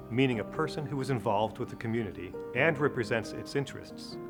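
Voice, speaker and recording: A man reading scripted documentary narration in a measured, formal way. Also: fairly low pitch. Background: guitar music.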